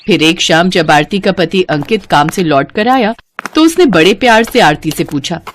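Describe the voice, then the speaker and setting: A woman's voice: lowish voice, expressive, moderate pace, a little hiss or hum. A woman narrating a scripted family moral story as a storyteller.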